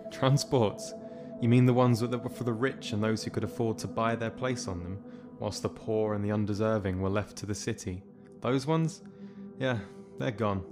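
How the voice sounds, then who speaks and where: A man's voice: fairly low pitch, normal speed, music in the background, close to an everyday voice. A young man playing a weary, dryly sarcastic soldier rescuing survivors in an audio drama. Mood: urgent, bitter. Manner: dry, sarcastic.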